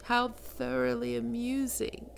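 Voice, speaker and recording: A woman voicing a seductive, domineering female demon queen of lust in an ASMR roleplay, speaking slowly and sultrily, often in a whisper. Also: fairly high pitch, monotone, vocal fry, a little hiss or hum, heavily acted. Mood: commanding.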